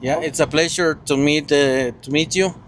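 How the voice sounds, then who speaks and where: A man's voice: a little high, steady tone, moderate pace, a bit bright, a little hiss or hum. A local driver speaking in a friendly, hesitant way, often restarting his sentences, interviewed inside a car. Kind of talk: casual interview answers.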